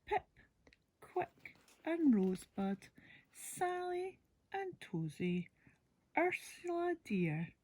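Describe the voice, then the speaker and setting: A woman voicing a kindly, doting cat lady from a bedtime storybook, in a breathy, affectionate sing-song. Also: extremely high pitch, big pitch swings, extremely slow, dark tone, pitched above the performer's own voice, exaggerated voice, rough recording. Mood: doting, welcoming. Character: kindly, doting woman who lives with many cats. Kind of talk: bedtime storybook character reading.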